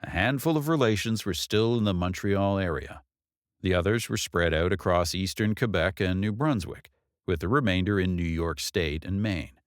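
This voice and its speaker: A man's voice: deep voice, moderate pace, no echo, studio quality. A man reading a novel aloud as an audiobook narrator, in scripted storytelling style.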